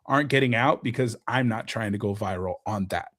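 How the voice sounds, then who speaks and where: A man who is a guest content creator, talking in excitable, quick bursts of explanation during a casual podcast chat. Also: lowish voice.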